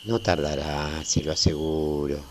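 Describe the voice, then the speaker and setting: A man's voice: extremely low pitch, flat delivery, measured pace. A middle-aged man with a Rioplatense Argentine accent playing a talkative, tender-hearted small-town family man in a radio play. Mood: reassuring, homely. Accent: Rioplatense Argentine Spanish. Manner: talkative, folksy, seeking agreement. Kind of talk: amateur radio play acting. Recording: faint hiss with night crickets. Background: crickets, night ambience.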